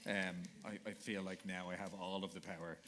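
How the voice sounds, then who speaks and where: A man's voice: sits low, little pitch movement, fairly quick, noisy background. A man hosting a live panel in front of an audience. He jokes and rambles with lots of fillers and restarts. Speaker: panel moderator. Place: event hall with audience.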